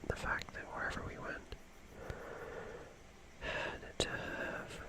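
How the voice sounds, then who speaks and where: Slow, hushed ASMR whisperer. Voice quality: breathy, unvoiced whisper. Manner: slow, gentle, repeats words softly. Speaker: ASMR host. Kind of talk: whispered ASMR, soothing rambling storytelling.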